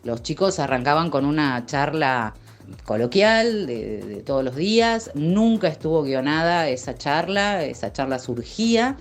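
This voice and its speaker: An Argentine woman speaks in a notably low register. She recalls radio production work in a casual, conversational way, with light music underneath. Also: sing-song, a little slow. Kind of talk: casual recollection.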